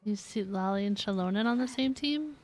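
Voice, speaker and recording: A woman's voice: mid-range voice, a little fast. A woman giving casual live gameplay commentary, giggly and thinking aloud as she plays. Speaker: video game streamer. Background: game music.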